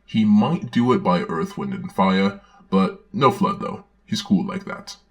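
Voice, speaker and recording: A man playing a droll villainous dark lord who reads a storybook aloud with deadpan, sardonic commentary. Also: low voice, a little slow. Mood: deadpan mockery. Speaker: host and narrator. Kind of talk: dramatic reading with sardonic commentary.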